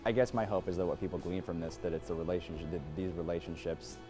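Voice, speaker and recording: A man's voice: low-pitched, fast. A man, an actor, reflecting earnestly in an interview. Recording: music behind the voice.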